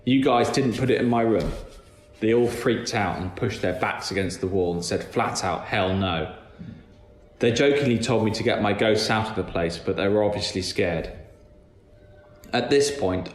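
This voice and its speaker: A man reading a scripted first-person creepy story aloud as a narrator. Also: lowish voice. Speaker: guest story reader. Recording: echoey room with background noise.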